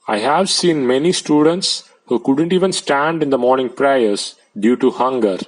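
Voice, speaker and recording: A man, reading out translated debate speeches in a plain, measured way. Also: medium pitch, darkish tone, rough recording.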